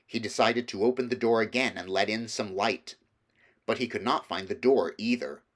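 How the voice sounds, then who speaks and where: A man's voice: on the low side, normal speed. A man reading a children's novel aloud as scripted storybook narration. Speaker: audiobook reader.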